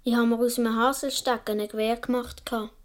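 A woman's voice: fairly high pitch, level tone, fast talker, natural voice. A woman with a Swiss accent playing an imaginative boy of seven or eight in a radio play, telling things in an earnest, dreamy way. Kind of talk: radio play monologue, childlike storytelling.

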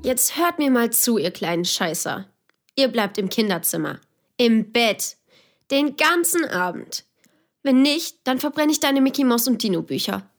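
A woman's voice: a little high, animated, a little slow. A woman playing a bossy, cool teenage big sister in a children's radio play, with a smug, threatening manner. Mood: menacing. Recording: faint music behind the voice.

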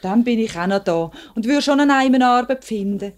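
A woman acting as a worker's loyal wife with a slightly high voice and a Swiss German accent. She reasons firmly and earnestly, full of conviction, in the style of a 1950s radio play. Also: expressive, brisk, a little mellow, natural voice. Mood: reassuring, resolute.

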